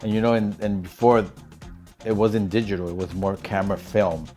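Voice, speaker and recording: A man chatting casually while doing a woman's makeup, mixing English and Spanish in a relaxed, talkative way. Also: lowish voice, fairly quick, faint music behind. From born in New York, raised in Puerto Rico. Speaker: makeup artist.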